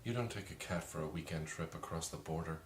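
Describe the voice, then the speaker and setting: An actor playing a tense husband fleeing with his family, speaking in a steady, reassuring, forced-calm way. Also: rumbling low, fast, a little hiss or hum. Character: tense husband driving family across border.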